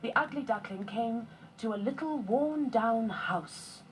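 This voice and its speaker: A woman narrating a children's story from a script in measured phrases with short pauses. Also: fairly high pitch, expressive, slight background noise, rough recording. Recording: music behind the voice. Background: music.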